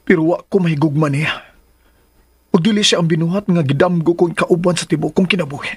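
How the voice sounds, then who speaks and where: A man's voice: fairly high pitch, brisk, background noise, natural voice. An actor playing a troubled young man in a radio drama, brooding aloud over his dilemma. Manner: brooding soliloquy.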